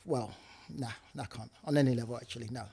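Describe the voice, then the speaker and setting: A man's voice: medium pitch, fairly even intonation, fast, creaky, mellow, slightly rough. A young man with slangy speech, a property investor talking animatedly on a podcast, repeating himself and laughing often. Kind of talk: casual podcast conversation.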